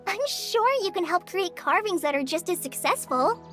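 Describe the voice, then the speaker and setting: A woman's voice: extremely high pitch, animated, moderate pace, over music. A woman voicing a tiny, childlike companion sprite in a video game, frank and chatty in a cutesy, blunt way. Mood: encouraging.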